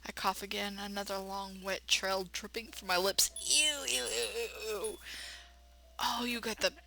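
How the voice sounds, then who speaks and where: Bright-voiced woman reading a horror game. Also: mid-range voice, moderate pace, vocal fry, rough recording. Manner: expressive narration broken by blunt sassy asides. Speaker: let's player. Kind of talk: reading a visual novel aloud with playful running commentary.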